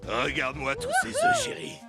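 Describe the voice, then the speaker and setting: A voice actor playing a gloating, grumpy schemer in an animated cartoon, drawling and sneering. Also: medium pitch, moderate pace. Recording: loud cartoon music behind.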